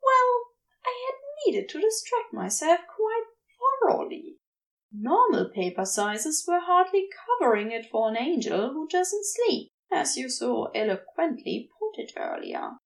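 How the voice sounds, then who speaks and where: Woman voicing a fussy, high-pitched angel bookseller. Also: big pitch swings, a little slow, gravelly, heavily acted, low-quality recording. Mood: sheepish, defensive. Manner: prim, wordy, flustered. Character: fussy, prim, bashful male angel bookseller. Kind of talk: dialogue in a fan fiction audio reading.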